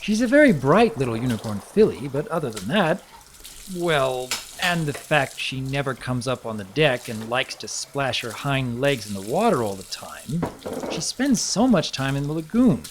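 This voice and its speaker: A man's voice: mid-range voice, sing-song, normal speed, noisy background, voice pushed up, close to an everyday voice. A man voicing a polite, earnest, easily bewildered pony baron in a dramatic story reading, with a hesitant, courteous manner. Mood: puzzled.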